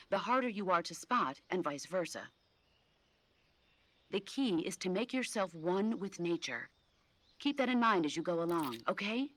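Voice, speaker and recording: Lady acting a calm support officer on a game briefing, mid voice, patient but firm, super dry sound. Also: mid-range voice, no echo, natural voice. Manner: clear, patient, firm explaining. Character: composed, instructive female mission support officer. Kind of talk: video game tutorial briefing.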